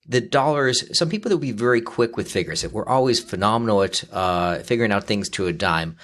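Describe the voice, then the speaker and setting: A man in his fifties, a financial advisor explaining things in a conversational talk, steady and explanatory with frequent fillers. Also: on the low side. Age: 50s. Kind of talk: conversational interview.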